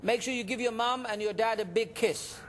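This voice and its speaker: A man's voice: very high voice, steady tone, brisk, fairly bright tone, big-room sound, slight background noise. A man hosting a live fundraising interview, encouraging and peppering his talk with Arabic devotional phrases. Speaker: television charity appeal host taking calls.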